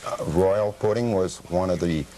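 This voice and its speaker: An American man with a low voice answers interview questions as a guest collector. He is factual and slightly formal, explaining things in a measured way. The sound is that of an old, slightly noisy television tape. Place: television studio.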